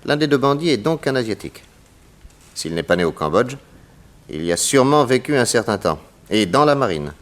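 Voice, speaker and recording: An actor playing a shrewd, courteous detective who questions people with theatrical confidence, in a 1960s radio serial. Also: male voice, mid-range voice, big pitch swings, fairly quick, fairly bright tone. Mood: probing, polite. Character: shrewd, probing, confident American male detective. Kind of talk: 1960s French radio drama acting.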